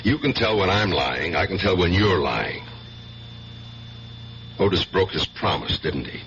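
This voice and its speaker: An actor playing a gruff, protective older brother and detective in a 1970s radio drama, blunt and dead serious as he questions people. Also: low-pitched, very animated, measured pace, heavily acted. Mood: accusing. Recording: muffled 1970s radio broadcast with loud hiss.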